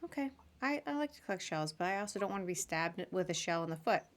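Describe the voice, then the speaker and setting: A woman's voice: lowish voice, expressive, quick pace, a crowd in the background. A woman hosting a podcast, chatting casually as she interviews her guest. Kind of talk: casual interview on a live video show.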